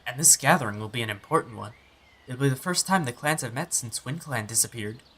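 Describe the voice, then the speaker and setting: A man's voice: medium pitch, expressive, fairly quick, fairly bright tone, husky. A man voicing an earnest young tomcat apprentice who used to be a house cat. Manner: earnest, eager. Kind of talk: fan audiobook voice acting.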